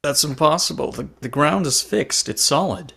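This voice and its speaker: A man's voice: fairly high pitch, expressive, moderate pace, vocal fry, bright, forceful tone, husky, heavily acted. A man playing a blunt, down-to-earth passenger in an amateur table read. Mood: reasoning.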